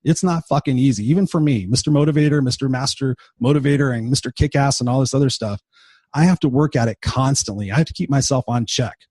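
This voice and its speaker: A man's voice: medium pitch, quick pace, darkish tone, slightly rough, dry recording, studio quality. A man in his fifties hosting a motivational podcast, talking off the cuff in a blunt, profane, emphatic way, tired and under the weather. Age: 50s. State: tired and sick. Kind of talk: unscripted motivational monologue.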